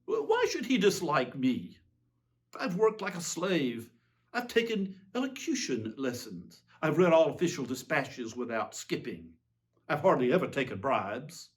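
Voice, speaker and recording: A man playing a weary, self-pitying tyrant king in a theatrical monologue, fussy, pompous and plaintive. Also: on the high side. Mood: petulant, indignant. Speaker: reader giving a dramatic reading. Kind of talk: theatrical dramatic monologue reading.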